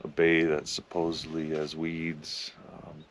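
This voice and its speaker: Man in his fifties, very low voice, easygoing fishing-trip narration, recorded outdoors on a camera in a boat with clear lake and wind noise. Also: slow pace. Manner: relaxed, matter-of-fact, upbeat when a fish bites. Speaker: fishing vlogger. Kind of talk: casual vlog narration. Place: small aluminum boat on an open lake, outdoors. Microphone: action camera mounted in the boat.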